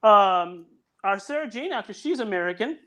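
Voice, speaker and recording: Eager high-voiced man guest. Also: expressive, moderate pace, crowd noise. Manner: eager, directive, talks over others. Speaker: audio drama producer, guest. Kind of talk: casual video-call chat.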